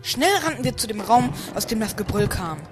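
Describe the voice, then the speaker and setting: A man's voice: high voice, animated, a little fast. Man, scripted first-person narrator, amateur parody radio play, background music. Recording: music under the voice.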